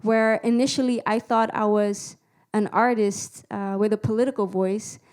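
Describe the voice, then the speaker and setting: A woman's voice: mid-range voice, steady tone, normal speed, darkish tone, clean tone. Woman musician-activist speaking on a panel. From Netherlands. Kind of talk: panel talk, personal account. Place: small screening room with seated panel, indoors. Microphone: handheld microphone held close.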